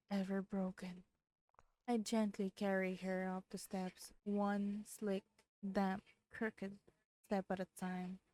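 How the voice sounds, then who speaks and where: Slow-reading woman streamer. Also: mid-range voice, a little mellow. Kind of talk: dramatic visual novel read-aloud with casual reactions. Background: background music.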